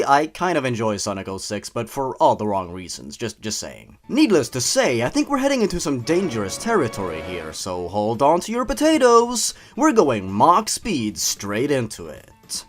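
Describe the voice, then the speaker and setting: A man narrating and mocking a horror story in a theatrical, exaggerated comedic style. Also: medium pitch. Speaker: host of a story-reading comedy show.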